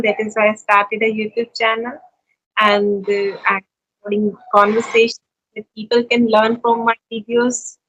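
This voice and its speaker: Bright-voiced Indian woman, friendly chat. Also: fairly high pitch, rough recording. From India (Uttarakhand). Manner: friendly, earnest, pauses to find words. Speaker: YouTuber and conversation partner. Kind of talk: casual conversation practice.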